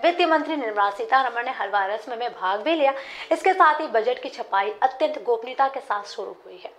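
A woman in her twenties reading a scripted news explainer as a presenter, in a formal, measured manner. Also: on the high side.

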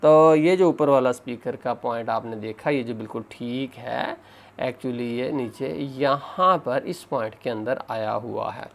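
Creaky-voiced man teaching. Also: medium pitch, unhurried, a little hiss or hum. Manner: step-by-step explaining, often addressing viewers as friends. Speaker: phone repair instructor. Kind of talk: hands-on tutorial narration.

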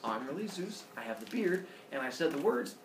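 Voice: medium pitch, sing-song, fairly quick, reverberant, distant mic, slight background noise, natural voice. Storyteller doing a whiny, boastful bearded god. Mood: defensive. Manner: defensive, childishly pouting.

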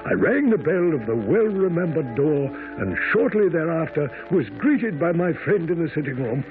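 An actor playing a genial, easily astonished gentleman doctor in a radio drama, speaking in a hearty, old-fashioned way. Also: on the high side, big pitch swings, normal speed, soft background music. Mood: reflective. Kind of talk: radio drama acting and narration. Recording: narrow lo-fi vintage radio sound.

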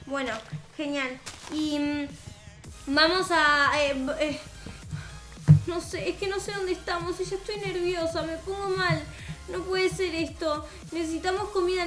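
A young woman with a Rioplatense Spanish accent, hosting a gaming video and improvising roleplay in an expressive, sweet, childlike way. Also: very high-pitched. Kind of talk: improvised in-character gameplay roleplay. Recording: roomy echo, music behind. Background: background music.

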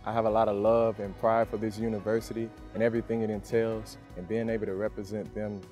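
A man's voice: fairly low pitch, little pitch movement, fast talker, darkish tone, soft background music. A young man from Louisiana, the homecoming king, speaking in a confident, upbeat way in an outdoor campus interview. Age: young adult.

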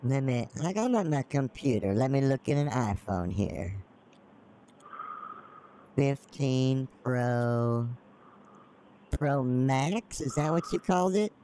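A man's voice: medium pitch, expressive, takes it slowly, pauses often, warm tone, a little hiss or hum. A middle-aged man on a live-streamed scam call, folksy and feigning ignorance as a naive mark. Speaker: scambaiter playing a naive mark.

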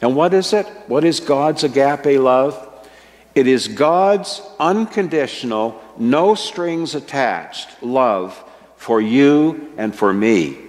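Older guy preaching at a pulpit, real slow and measured, church echo hanging on. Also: medium pitch, animated, darkish tone. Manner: slow, measured and earnest, stressing key words. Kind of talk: sermon. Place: church sanctuary with a stage, indoors. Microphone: microphone at a wooden pulpit, fixed camera. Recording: long church reverb.

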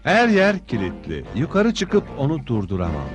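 A man's voice: mid-range voice, huge pitch swings, moderate pace. A man voicing a worried employee urgently reporting trouble in a dubbed cartoon. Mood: alarmed. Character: worried adult male employee reporting to young boss. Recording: music behind the voice.

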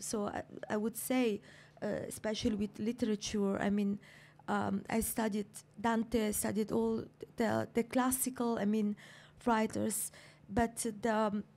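A middle-aged woman from Somalia, speaking on a writers' panel with a handheld mic, thoughtful and halting with many fillers. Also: a little high, steady tone, a little mellow. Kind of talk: reflective panel talk. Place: seated on a couch in a lecture room.

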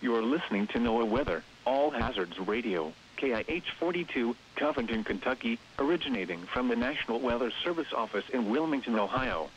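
Over a dull, band-limited radio signal with steady hiss, a man with a mid-pitched, machine-like voice reads weather bulletins. His phrasing is even and impersonal, in the manner of an automated announcer. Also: fairly quick. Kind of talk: scripted weather bulletin and warning readout. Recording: hissy, dull radio broadcast with noise.

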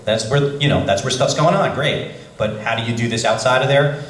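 A man talking at a convention panel, telling his career story in a chatty, animated way. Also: medium pitch, brisk. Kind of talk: casual panel talk. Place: large convention hall. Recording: echoey hall, voice from far.

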